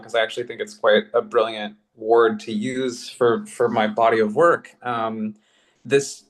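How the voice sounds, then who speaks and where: A man's voice: medium pitch, poor audio. An American man from Colorado speaking reflectively on a panel, earnest and articulate, with rather elevated wording. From Colorado, United States. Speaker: podcast creator and artist.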